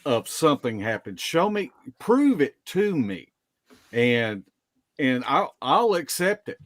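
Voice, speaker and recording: A man telling field stories casually as a podcast guest, in a halting way with drawn-out pauses. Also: on the high side, sing-song, no room sound, studio quality. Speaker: guest, Bigfoot field investigator. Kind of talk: casual storytelling in a live podcast interview.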